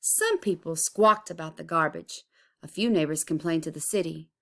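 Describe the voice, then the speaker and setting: A woman reading a children's picture book aloud expressively, with deliberate, dramatic emphasis. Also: sits low. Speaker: storybook reader.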